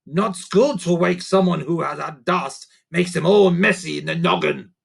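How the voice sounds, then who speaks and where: A man's voice: on the high side, animated, moderate pace, gravelly, breathy edge, pitched above the performer's own voice, full character voice. A man voicing a sly little creature that talks in broken, growly speech in a children's story. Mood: mischievous. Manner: growly creature voice with odd grammar. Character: sly male creaker creature, gruff and cheeky.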